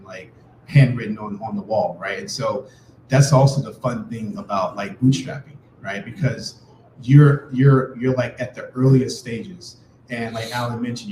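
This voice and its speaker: A man's voice: mid-range voice, darkish tone, slight background noise. A man speaking casually as a panelist, filling his talk with "you know" and "right". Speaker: panelist entrepreneur. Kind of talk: panel discussion answer. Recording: echoey room.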